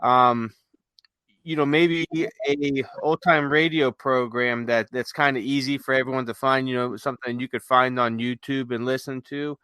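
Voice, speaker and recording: A man's voice: mid-range voice, steady tone, normal speed, bright, forceful tone, clean tone, dry recording. A man speaking casually as a podcast co-host, with an easygoing, informal manner.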